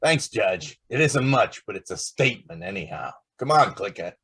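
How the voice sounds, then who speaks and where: A man's voice: on the low side, expressive, normal speed, a bit bright, slightly rough, full character voice. A man playing a brash, wisecracking newspaper reporter in old-time radio drama style, slangy and pushy. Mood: brash, persistent.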